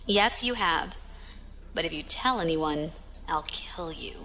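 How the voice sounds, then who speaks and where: Tough female space pirate, bright forceful voice, dry humour, faint background noise. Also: sits low, expressive, slow pace, exaggerated voice. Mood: relaxed, teasing. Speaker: voice actor. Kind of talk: science fiction audio drama dialogue.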